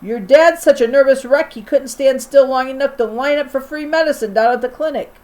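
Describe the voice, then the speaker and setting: A nagging old grandmother from a children's storybook, as a woman reader plays her. She scolds and barks her orders in a crabby, bossy way, with a fairly high female voice. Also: fairly quick, darkish tone, close to an everyday voice. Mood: scolding. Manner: barking, scolding.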